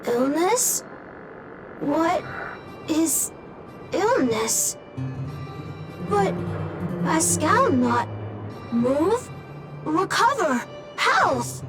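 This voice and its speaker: Tiny childlike robot in a game, super high, crawls along word by word, pitch jumping around. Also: female voice, very animated, heavily acted. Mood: simple, puzzled. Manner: halting, broken word-by-word speech. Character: childlike, simple, obedient little robot. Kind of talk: video game voice acting. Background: background music.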